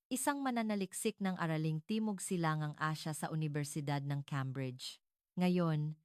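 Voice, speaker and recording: A woman reading scripted dramatic narration in a formal, measured storytelling manner. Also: low voice, smooth, dry recording, clean studio sound.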